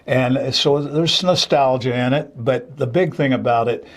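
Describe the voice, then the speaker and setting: A man's voice: medium pitch, a little slow. An older man with a mid-range voice talks as a guest in an interview. He speaks as a theater director and teacher, unscripted and enthusiastic, in a reminiscing way.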